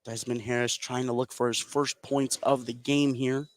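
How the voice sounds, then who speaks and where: A man's voice: medium pitch, flat delivery, normal speed, no echo. An American man calling live basketball play-by-play as a radio announcer. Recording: live gym broadcast, clearly noisy background. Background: noisy gymnasium ambience.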